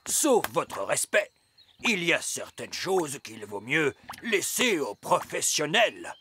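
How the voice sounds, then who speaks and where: A man's voice: a little high, big pitch swings, pushed and bright, a little hiss or hum, heavily acted. A man voicing a pompous, self-assured old wise elf in a cartoon. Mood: know-it-all. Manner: pompous, deliberate.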